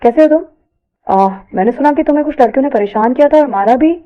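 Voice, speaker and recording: A woman voicing a gentle, earnest young college man in an audio story, soft and a little hesitant. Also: high-pitched, fast, muffled recording, natural voice. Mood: concerned. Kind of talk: audio story character dialogue.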